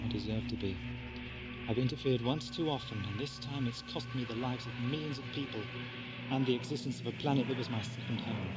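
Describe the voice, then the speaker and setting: An actor playing an eccentric, theatrical time traveller in an audio drama, brisk and self-mocking. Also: male voice, medium pitch, over loud music, close to an everyday voice, rough recording. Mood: grave, explaining. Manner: theatrical, expounding, exclamatory. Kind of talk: 1980s amateur audio drama acting.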